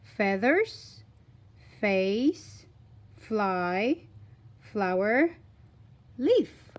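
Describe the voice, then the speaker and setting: A woman reading a scripted phonics lesson for young children, deliberately enunciating single words in an instructional way. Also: medium pitch, big pitch swings. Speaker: children's phonics teacher and reader.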